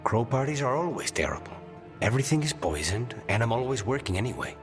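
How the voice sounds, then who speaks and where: A man acting a weary, loyal assassin leader in a video game, speaking in a hushed, dry, understated way. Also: mid-range voice, sing-song, quick pace, music in the background, natural voice. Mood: weary, resolute.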